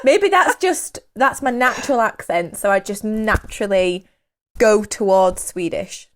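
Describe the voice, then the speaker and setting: Slow, lively, giggly woman podcaster. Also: mid-range voice. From England. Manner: giggly, self-mocking, drawn-out delivery. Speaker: podcast co-host. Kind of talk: casual comedic chat, trying out accents. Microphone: studio podcast mic.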